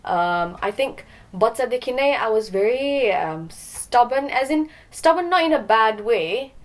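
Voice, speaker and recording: A woman's voice: a little high, expressive, moderate pace. A young woman from Nepal, a podcast guest, talking casually with frequent ums and some Nepali phrases mixed in. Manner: hesitant, many ums, mixes Nepali phrases. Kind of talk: casual interview talk.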